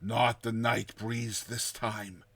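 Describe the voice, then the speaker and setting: Man acting out a terror-gripped storyteller, swinging from hush to outburst. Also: fairly low pitch, flat delivery, husky, exaggerated voice. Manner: explosive outbursts, hushed tense whispers, dramatic pauses. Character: terrified man recounting his nightmare of a lurking giant serpent. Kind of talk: dramatized storytelling.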